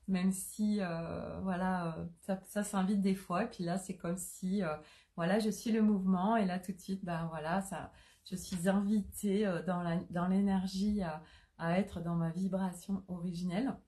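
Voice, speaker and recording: Soft-spoken French woman, halting and dark-toned. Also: on the low side, fairly even intonation. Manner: soft, hesitant, many fillers and restarts. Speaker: holistic coach. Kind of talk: live-streamed spiritual talk.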